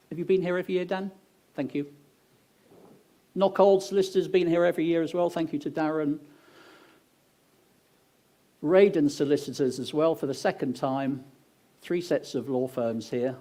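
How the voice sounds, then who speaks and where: English guy hosting an awards night on stage, mellow tone, cracks little jokes at the crowd. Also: a little high. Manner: upbeat, joking asides to the audience. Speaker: awards host. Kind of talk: announcing at an awards ceremony. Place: event venue on stage.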